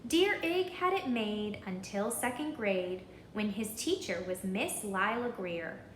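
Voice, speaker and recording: A woman reading a children's story aloud in an expressive, deliberate, rhythmic way, pausing to put questions to listeners. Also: medium pitch, reverberant, a little hiss or hum. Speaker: storybook reader.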